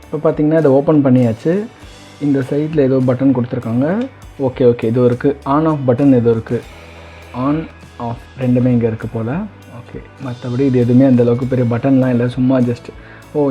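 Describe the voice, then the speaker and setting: A man hosting a casual unboxing, talking conversationally and mixing in English words. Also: mid-range voice. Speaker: video host. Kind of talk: casual product unboxing commentary. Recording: dull lo-fi, faint music behind. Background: faint music.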